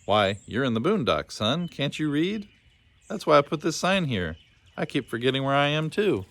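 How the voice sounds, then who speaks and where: A man's voice: a little high, sing-song, normal speed, a bit bright, voice pushed up. A man voicing a cheerful, forgetful old man with a folksy, absent-minded manner in a storybook. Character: cheerful, forgetful old man running a lost-things land. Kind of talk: storybook character voice.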